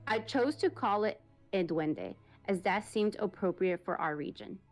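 A woman reads a listener's eerie encounter aloud as podcast host, her pitch sitting in a middle range. She keeps an even, steady storytelling pace, and faint music plays underneath. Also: a little slow.

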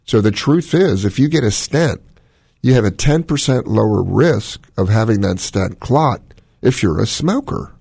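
A man's voice: fairly low pitch, expressive, unhurried, a little mellow, no room sound, clean studio sound. A man with a smoky voice, a doctor hosting a call-in radio show, giving long opinionated explanations. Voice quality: smoky, gravelly voice. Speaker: cardiologist radio host.

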